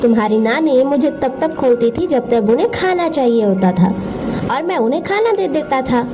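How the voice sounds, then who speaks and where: A grand, kindly magic wardrobe that speaks and grants wishes, played by a woman narrator. The voice is fairly high and swoops up and down in a lively way, with music playing clearly behind it. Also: moderate pace. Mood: commanding.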